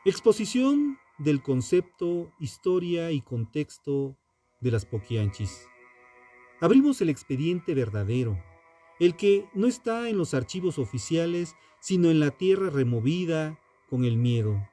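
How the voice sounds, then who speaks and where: Slow, solemn male true-crime narrator. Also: a little high, big pitch swings, mellow. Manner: solemn, deliberate, sententious. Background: background music.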